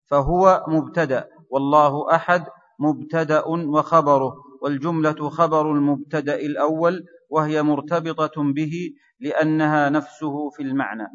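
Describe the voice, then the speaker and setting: A man reading a grammar text aloud for his teacher in a steady, measured recitation. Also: fairly high pitch. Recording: distant mic in a reverberant hall, dull lo-fi sound.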